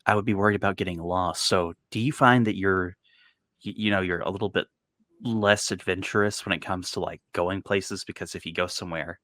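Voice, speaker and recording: A man whose first language is Filipino, hosting a podcast and asking long, relaxed questions over a video call. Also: deep voice, a little fast. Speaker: podcast host. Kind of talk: casual interview chat.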